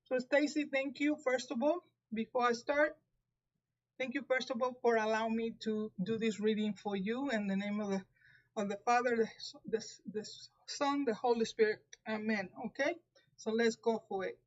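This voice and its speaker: A woman's voice: a little high, fairly even intonation, moderate pace, highs cut off. A woman with a Puerto Rican accent, a native Spanish speaker, giving a live tarot reading, talking carefully with frequent restarts. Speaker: tarot reader.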